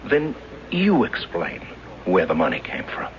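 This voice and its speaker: A low, very expressive voice plays a sardonic, brooding husband who resents his lot in life. The delivery is naturalistic radio mystery acting, halting and bitter. The old recording is muffled, with clear noise behind the man. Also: full character voice. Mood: agitated, defiant. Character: bitter husband, former banker turned struggling schoolteacher. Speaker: radio drama actor.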